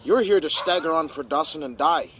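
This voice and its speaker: An actor playing a stern, seasoned, protective frontier prospector in a radio drama, giving plain-spoken, emphatic warnings. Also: male voice, fairly high pitch, brisk, fairly bright tone, a little hiss or hum, natural voice.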